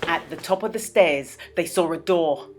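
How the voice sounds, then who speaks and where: A woman narrating a children's story as a storyteller, with dramatic, expressive delivery. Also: on the low side, normal speed, noisy background. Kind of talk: children's read-aloud narration.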